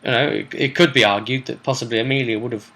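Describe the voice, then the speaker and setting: A British man with a bright, forceful voice and an average pitch. He rambles through a tabletop adventure like a game master among friends, chatty and animated, in a casual storytelling style. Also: fast. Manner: animated, chatty, acts out brief quotes. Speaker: host and game master recapping sessions.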